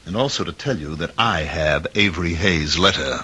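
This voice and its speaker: A coolly defiant, taunting fellow in a vintage radio drama. The voice is piercingly bright and husky, with lively swings in pitch. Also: male voice, moderate pace, full character voice. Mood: cool defiance. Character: defiant, taunting man confronting a professor. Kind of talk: old-time radio drama acting.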